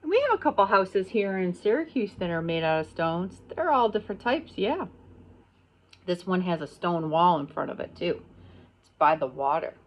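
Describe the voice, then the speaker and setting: A middle-aged woman with an American accent, a pre-kindergarten teacher reading a picture book aloud and explaining it simply, pausing on key words. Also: fairly low pitch, very animated, poor audio.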